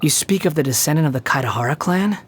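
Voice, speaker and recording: An actor playing a scornful, sardonic young male immortal wanderer in a video game, with a husky voice. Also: a little high, animated, fast talker. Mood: surprised. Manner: haughty, sardonic, sneering. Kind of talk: scripted video game character voice lines.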